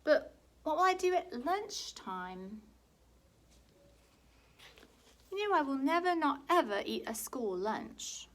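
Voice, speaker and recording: A woman's voice: sing-song, measured pace. Woman doing a stubborn little girl. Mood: insistent. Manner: insistent, sing-song and high.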